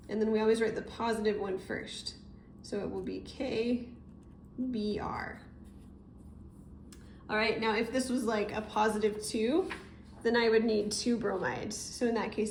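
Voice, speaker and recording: A woman teacher giving a casual, explanatory step-by-step tutorial, recorded indoors at a desk. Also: a little high. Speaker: chemistry teacher. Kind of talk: informal step-by-step tutorial narration. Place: indoor room, at a desk over paper. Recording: roomy echo with noticeable background noise.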